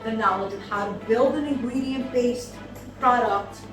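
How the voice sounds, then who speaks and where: A woman who owns a business, giving a thoughtful testimonial with many ums and pauses. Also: a little high. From United States. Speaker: seminar attendee, business owner. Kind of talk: testimonial interview. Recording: echoey room, mic far off, music behind.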